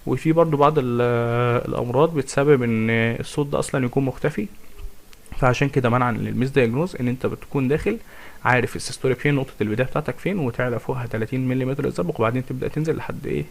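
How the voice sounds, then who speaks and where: A young man with an Egyptian Arabic accent giving a fast exam revision lecture on medicine, with many fillers and restarts, and often asking his listeners questions. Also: mid-range voice, vocal fry. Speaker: medical tutor.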